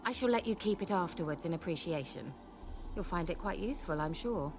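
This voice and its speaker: A haughty wry sorceress. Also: female voice, lowish voice, animated, brisk. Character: vain sharp-tongued adult sorceress. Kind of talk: video game cutscene acting. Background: game soundtrack music.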